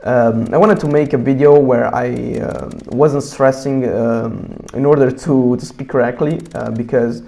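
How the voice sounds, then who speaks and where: A man's voice: mid-range voice, fairly even intonation, measured pace, creaky, few pauses, warm tone. A young man from Italy with an Italian accent, speaking English as a second language while commenting casually over gameplay, full of fillers and restarts. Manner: hesitant, many fillers and restarts, blunt opinions. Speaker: gaming YouTuber.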